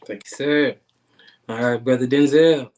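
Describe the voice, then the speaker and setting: A man, hosting an online talk show in a casual, halting way with many repeated words and fillers. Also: mid-range voice, steady tone, darkish tone. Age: 30s. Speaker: guest host.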